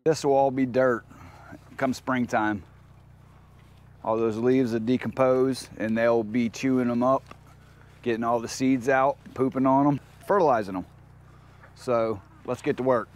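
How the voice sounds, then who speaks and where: A man's voice: medium pitch, fairly even intonation, a little slow, long pauses. A man chatting casually and informally as a homestead vlogger, using folksy slang, recorded outdoors on a handheld camera. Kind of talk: casual vlog chat, talking to viewers and animals. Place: outdoors in a backyard chicken run.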